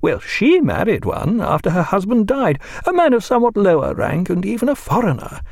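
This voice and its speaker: A man voicing a domineering, meddling older woman who talks in a shrill, overbearing, lecturing way. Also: huge pitch swings, brisk, gravelly, no echo, studio quality, exaggerated voice. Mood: imperious. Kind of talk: audiobook character voice.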